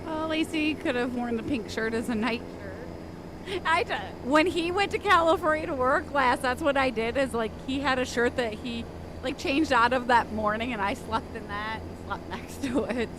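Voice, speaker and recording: A woman's voice: high voice, moderate pace, noisy background. A woman co-hosting a live stream, chatting casually and reading chat messages aloud with frequent laughs. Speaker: stream co-host.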